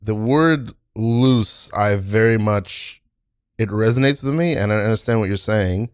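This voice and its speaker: A man's voice: lowish voice, a little slow, clean tone, no echo, studio quality. A man hosting a casual discussion of improv in an easy conversational style. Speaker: podcast host and improv comedian.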